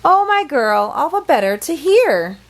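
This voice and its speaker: A woman's voice: sits high, very animated, unhurried, voice pushed up, heavily acted. A woman voicing a sly, hungry male wolf who pretends to be a sweet grandmother in a storybook, coaxing and falsely sweet.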